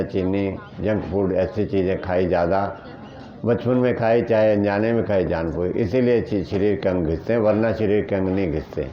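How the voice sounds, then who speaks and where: A man's voice: fairly low pitch, lo-fi sound. Earnest man teaching a natural health group. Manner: earnest, explaining plainly with rhetorical questions. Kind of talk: teaching talk answering questions.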